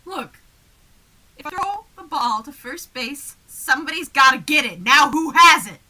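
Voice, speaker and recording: A woman playing a flustered, exasperated comic man in an old-time radio comedy double act, with frantic, excitable delivery. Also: sits high, full character voice, rough recording. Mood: confused, insistent. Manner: frantic, excitable, fast back-and-forth delivery.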